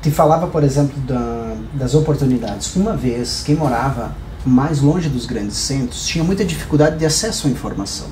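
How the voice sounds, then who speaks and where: A man's voice: mid-range voice, lively intonation, moderate pace, hardly any pauses, darkish tone. A man with a southern Brazilian accent in a podcast conversation, explaining things through examples and rhetorical questions. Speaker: podcast guest, hair professional. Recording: roomy echo, clear background noise.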